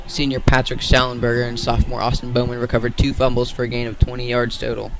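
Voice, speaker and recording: American man, radio sports commentator, even flat intonation, middle-pitched voice, measured play-by-play delivery. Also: poor audio.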